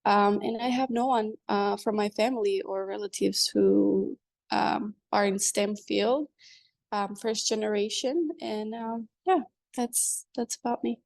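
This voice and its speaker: Gravelly young woman from Georgia. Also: mid-range voice, steady tone, measured pace, a little mellow, dry recording. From Georgia (country). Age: young adult. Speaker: undergraduate chemistry student. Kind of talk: panel discussion. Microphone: video call.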